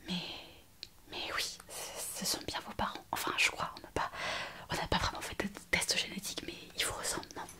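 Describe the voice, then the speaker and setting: A woman playing an excitable, caring young hospital worker in a whispered ASMR roleplay, gossipy and hesitant as she tells a story. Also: brisk, lightly acted. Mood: confiding, hesitant. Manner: breathless, hesitant storytelling to the listener.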